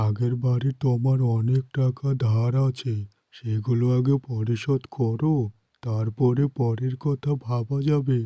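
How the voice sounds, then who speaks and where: A man's voice: mid-range voice, dark tone, dry recording, lightly acted. A man voicing a harsh, greedy village landlord in a cartoon, scolding with stern scorn.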